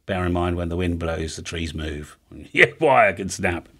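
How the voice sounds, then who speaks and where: A man in his fifties with a low-pitched voice talks through a practical explanation. He is chatty and informal, like a hobby channel host showing how something is done, and he often pauses to check that the listener follows. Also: expressive, sharp tone, slightly rough. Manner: chatty, casual, often checks in with "all right?". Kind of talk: informal explainer, talking through a hand-drawn diagram. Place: home music studio room, indoors.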